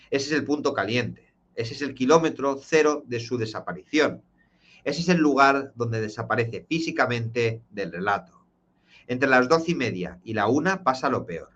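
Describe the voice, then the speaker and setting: A man, streaming live and recounting a true crime case in an emphatic, dramatic way, slowing down for key points. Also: medium pitch, a bit bright, dull audio.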